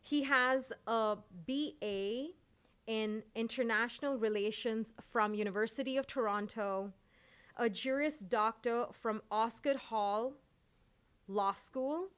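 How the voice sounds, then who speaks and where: Woman awards host, a little high-pitched, reads citations in a formal, measured tone. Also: fairly bright tone, smooth. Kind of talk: reading award citations at a ceremony. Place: large event hall.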